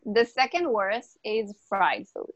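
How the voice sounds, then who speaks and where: A woman whose first language isn't English explains food and health in casual, emphatic talk. She repeats words and restarts phrases, in a middle-pitched voice. The sound is dry, with no room echo. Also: very animated, slow pace, lo-fi sound. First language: not English. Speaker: natural health coach, podcast guest.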